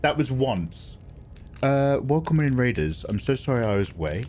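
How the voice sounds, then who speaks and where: A man with an English accent, chatting excitably on his live stream and swearing freely. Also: medium pitch, normal speed, slight background noise. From England. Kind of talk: casual live-stream chat.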